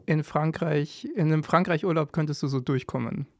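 A woman's voice: low voice, brisk, no room sound, studio quality. A woman hosting a podcast, asking eager, curious follow-up questions in casual chat. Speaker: podcast host.

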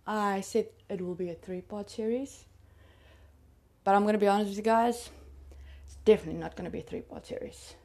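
A woman's voice: a little high, darkish tone. A South African woman whose first language is Afrikaans, telling a true crime story in a chatty, confiding way to her listeners. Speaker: podcast host.

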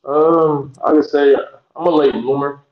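A young man talks in an easygoing, rambling way, with lots of "uh" and "like" and sentences he restarts. His voice stays flat and even. The sound is muffled and dull, with a roomy echo around it. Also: fairly high pitch, measured pace. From Georgia, USA. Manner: laid-back, rambling with many fillers and restarts. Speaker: guest, pro basketball player. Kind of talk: casual interview chat.

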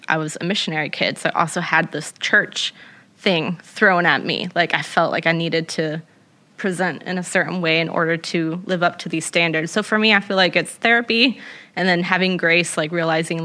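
A young woman talks through her thoughts in a relaxed conversation, circling back with fillers as she goes. Her voice is bright and forward, set a little low. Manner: rambling and reflective, many fillers. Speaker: guest. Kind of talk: casual conversation.

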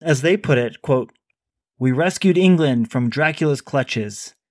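A man's voice: mid-range voice, lively intonation, unhurried, a little mellow, no room sound, studio quality. A man co-hosting a podcast, talking casually about a book and reading a sponsor plug. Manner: conversational, reads a sponsor plug. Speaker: podcast co-host. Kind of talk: casual book discussion and ad read.

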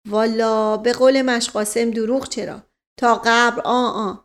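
A woman acts a wisecracking male aristocrat in a comic audiobook. He is wry, teasing and flippant. The voice is on the high side. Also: little pitch movement, close to an everyday voice. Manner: wry, teasing, catchphrase-prone.

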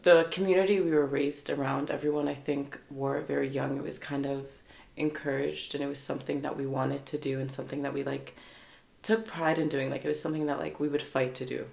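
A woman's voice: very deep voice, fairly even intonation, a little fast, vocal fry, a little mellow, reverberant. A woman in her twenties from Canada, a podcast guest talking casually, chatty with frequent laughs and fillers. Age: 20s.

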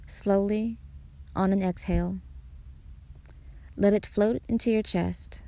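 A woman guiding a meditation in a soothing way, pausing between short phrases. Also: medium pitch, steady tone, gravelly, warm tone. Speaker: meditation guide, podcast host. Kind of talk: guided meditation narration.

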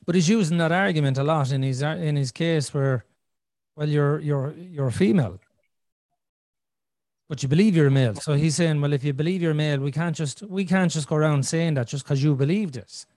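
Guy on a podcast, earnest, thinks out loud, slightly high voice, dry close sound. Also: brisk, dry recording. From Ireland. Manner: earnest, thinking aloud with restarts and "you know". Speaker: podcast host. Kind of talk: casual conversational podcast chat.

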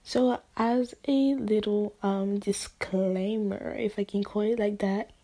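A woman with English as her second language talks slowly and unhurriedly, her soft voice slightly husky and often dropping toward a whisper. She rambles, circling back with fillers and restarts. The sound is dry, with no room echo. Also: mid-range voice, steady tone. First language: not English. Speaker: podcast host. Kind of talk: casual solo podcast monologue.

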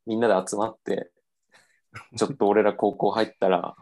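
A young man with a somewhat low voice tells a story in a relaxed, self-mocking way. His speech is full of casual fillers and easygoing chat. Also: moderate pace. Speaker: podcast host telling his story.

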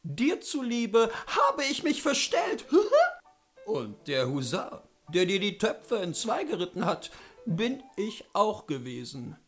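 A man's voice: high-pitched, wildly swooping pitch, slow pace, a bit bright, faint music behind, voice pushed up, exaggerated voice. A man voicing a proud, stern king and husband in a fairy tale, speaking in a theatrical, admonishing manner. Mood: revealing, reassuring. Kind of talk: fairy-tale audiobook character voice.

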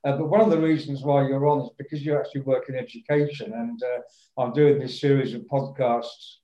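A man's voice: medium pitch, fairly even intonation, fairly quick, warm tone, reverberant, dull audio. A man hosting a podcast who introduces his guest in a hesitant, friendly, unscripted way full of fillers. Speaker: podcast host. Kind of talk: casual interview.

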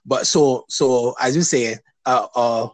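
A man hosting a casual interview, talking hesitantly with many restarts and pauses. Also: medium pitch, dry recording. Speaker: podcast host.

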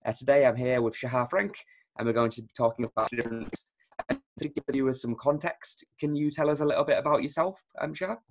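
A man's voice: medium pitch, quick pace. A man who hosts a tech podcast, asking questions over a video call in a casual way, with fillers like "um.". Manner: friendly, filler-heavy questioning. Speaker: recruiter and podcast host. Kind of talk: casual interview hosting.

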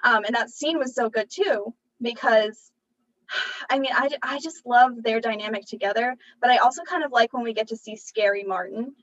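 A young woman chats through a TV recap on a podcast, laughing easily between thoughts. Her voice sits a touch high. She sounds a little distant from the mic, with a dull, muffled tone. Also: moderate pace. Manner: chatty, laughs often, animated asides. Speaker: podcast co-host. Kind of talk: casual chat recapping a TV episode.

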